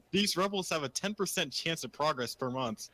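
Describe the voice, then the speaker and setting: Bright-voiced joking man, dry close mic. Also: on the high side, expressive, moderate pace, dry recording. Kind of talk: casual chat over voice call.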